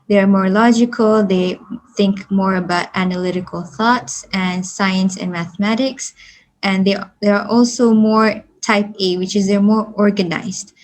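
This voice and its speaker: Young female student from Malaysia, class presenter, mid-pitched, explains steadily with fillers. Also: darkish tone, low-quality recording. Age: young adult.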